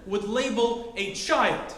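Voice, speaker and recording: A man in his twenties telling a story on stage, choosing and enunciating each word carefully, with deliberate pauses. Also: sits high, a crowd in the background. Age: 20s. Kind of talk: live storytelling performance. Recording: roomy live-venue sound.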